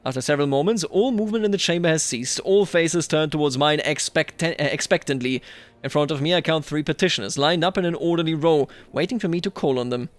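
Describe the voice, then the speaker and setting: A man's voice: on the high side, quick pace, no room sound. A man giving casual commentary on a game playthrough, thinking aloud and speaking in character to the people in it. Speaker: host of a gaming series.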